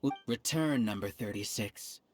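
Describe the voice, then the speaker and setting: An actor playing an arrogant, resentful researcher who leads a hive mind in a video game, with a grandiose, theatrical delivery. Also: male voice, fairly low pitch, moderate pace, natural voice. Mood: smug, menacing. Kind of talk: dramatic video game dialogue. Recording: music behind the voice.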